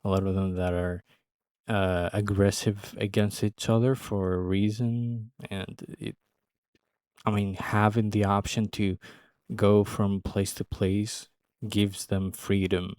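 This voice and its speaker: Low-voiced man reacting casually. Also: flat delivery, slow, darkish tone, smooth, no room sound, studio quality. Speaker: reaction video host.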